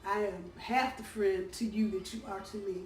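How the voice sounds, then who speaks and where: A hoarse woman giving a casual weekly tarot reading, chatty and full of ums and uhs. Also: medium pitch, steady tone, moderate pace, soft background music. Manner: chatty, warm-hearted, lots of ums and uhs. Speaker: tarot reader. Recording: roomy, distant from the mic, faint background hum.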